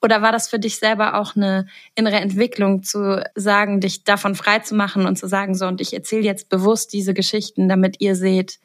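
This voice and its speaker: A woman's voice: mid-range voice, flat delivery, brisk, hardly any pauses. A woman interviewing a guest, asking long, reflective questions. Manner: long reflective questions, frequent listening murmurs.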